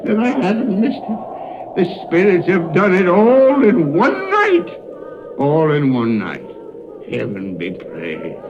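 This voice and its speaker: An actor playing an elderly reformed miser in a vintage radio drama, exuberant and chuckling, full of exclamations. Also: male voice, high voice, huge pitch swings, slow, exaggerated voice. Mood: giddy delight. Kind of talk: 1930s radio drama acting. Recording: noisy, dull 1939 radio broadcast.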